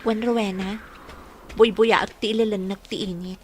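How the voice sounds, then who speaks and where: A woman's voice: medium pitch, steady tone, moderate pace, a little mellow, slight background noise, natural voice. A woman with an Ilocano accent playing an earnest, troubled young village woman in a radio drama. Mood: wistful, troubled. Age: young adult. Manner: earnest, plaintive.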